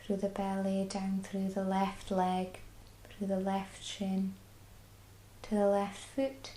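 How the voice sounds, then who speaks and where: A woman with a Scottish accent guiding a body scan meditation, speaking slowly and soothingly. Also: medium pitch, completely flat delivery, echoey room, slight background noise, low-quality recording. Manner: slow, soft and soothing. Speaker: mindfulness guide.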